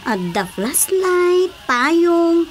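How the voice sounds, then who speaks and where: A woman's voice: extremely high pitch, very animated, drawn-out words, a little hiss or hum, heavily acted. A woman playing an easily amazed, exclaiming market shopkeeper in an Ilocano radio drama comedy. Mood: amused surprise.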